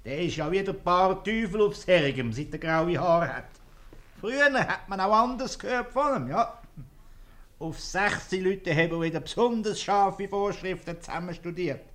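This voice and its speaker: A man's voice: sits high, expressive, moderate pace, a bit bright. A man playing a jovial, gossipy tavern guest with a Swiss German accent in a 1950s radio comedy. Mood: amused, gossipy.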